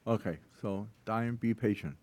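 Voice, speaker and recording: A man moderating a hearing, introducing speakers in a halting, friendly way with many hesitations. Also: on the low side, darkish tone.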